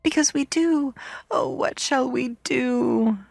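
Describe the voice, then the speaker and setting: An earnest little girl from a storybook, acted by a woman, high and tearful. She pleads and insists in a swooping, beseeching voice, very close and dry. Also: big pitch swings, normal speed, vocal fry, darkish tone, breathy edge, dry recording, heavily acted. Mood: pleading, insistent. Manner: sobbing, beseeching.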